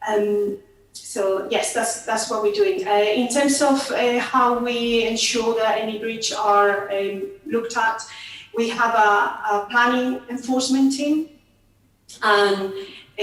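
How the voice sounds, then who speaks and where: A woman council planning officer answering a committee, halting, with many fillers and restarts. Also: mid-range voice, heavy reverb, far from the mic, low-quality recording. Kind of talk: committee testimony.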